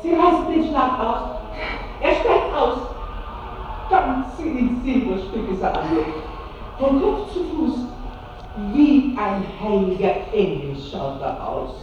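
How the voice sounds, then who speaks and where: A woman playing an excited duenna in a radio play, exclaiming breathlessly as she announces arrivals. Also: on the high side, takes it slowly. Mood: excited awe. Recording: muffled, noisy 1960s radio, distant, very echoey.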